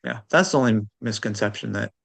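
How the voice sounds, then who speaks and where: A man's voice: on the low side, a little fast, vocal fry, fairly bright tone, no room sound. A man speaking as an interview guest in casual, unscripted conversation, hesitant and thoughtful as he hedges his points. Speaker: interview guest, transplant recipient.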